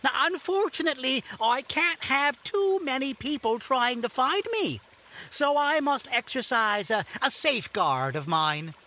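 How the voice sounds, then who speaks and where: A woman's voice: high voice, big pitch swings, a little slow, pushed and bright, full character voice, rough recording. A woman playing a whimsical, mischievous yet kindly elf in an audio drama, giggly and theatrical, with playful rhymes and grand old-fashioned phrasing. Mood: whimsical, chatty.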